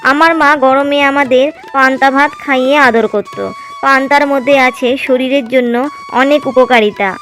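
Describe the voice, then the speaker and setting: A devoted young village wife in a cartoon tale talks tenderly and patiently, voiced by a woman. Her voice is high, with soft music under it. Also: fairly even intonation, normal speed. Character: caring young farmer's wife, warm and devoted.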